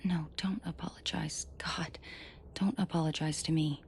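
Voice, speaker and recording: Woman acting a low, gravelly female mafia boss. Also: steady tone, darkish tone, slight background noise. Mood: gentle, commanding. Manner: controlled, commanding, slow and deliberate, often dropping to whispers. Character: female mafia boss, menacing to her men, protective and tender. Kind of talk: scripted roleplay audio drama, intimate direct address to listener.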